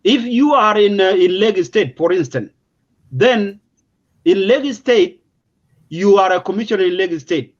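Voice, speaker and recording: High-pitched South Sudanese man, emphatic. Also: animated, moderate pace. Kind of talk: unscripted explanatory political discussion. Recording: dull, lo-fi audio.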